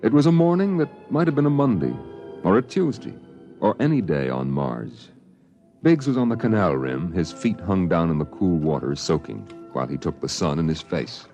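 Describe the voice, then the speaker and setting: A male narrator reading a 1950s radio drama in a slow, solemn, deliberate way. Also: low-pitched, sing-song, mellow. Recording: muffled old radio broadcast with music.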